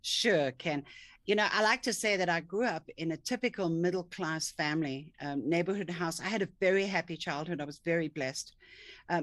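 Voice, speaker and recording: Lady coach, low voice, dry close sound, reflective and earnest. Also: no room sound. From South Africa. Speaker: guest, thinking coach. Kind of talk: interview over video call. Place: home office, indoors. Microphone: video call webcam.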